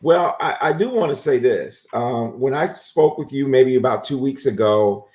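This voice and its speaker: A man hosting a live interview show, talking in a relaxed, friendly way with lots of fillers. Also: medium pitch, moderate pace, roomy sound. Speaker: host. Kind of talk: casual interview on a live stream.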